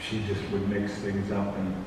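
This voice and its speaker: A man's voice: on the low side, completely flat delivery, normal speed. Distant, very echoey rambling male panelist. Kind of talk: casual convention panel chat. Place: convention panel room. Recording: echoey hall, distant mic, noisy.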